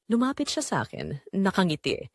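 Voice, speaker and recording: A woman narrating as a guilt-ridden, secretly longing mother in a scripted audio drama, with a measured, confessional delivery. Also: mid-range voice, big pitch swings, no room sound, clean studio sound. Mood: guilty, wistful.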